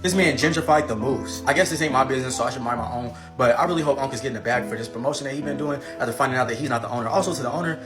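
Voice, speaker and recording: A man's voice: medium pitch, rapid-fire, hardly any pauses. A man giving sarcastic, amused reaction commentary. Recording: guitar music behind the voice.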